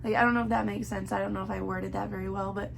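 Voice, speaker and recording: A young woman with a hoarse, worn-out voice, chatting casually through a book review with lots of filler words. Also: medium pitch, flat delivery, very fast, soft background music. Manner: chatty, many "like" fillers, earnest.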